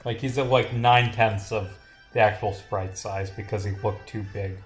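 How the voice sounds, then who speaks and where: A man's voice: lowish voice, steady tone, normal speed, soft background music. A man streaming as a game developer, giving casual, sweary commentary as he tests and reads through a game. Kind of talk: livestream playtest, reading game dialogue aloud with casual commentary.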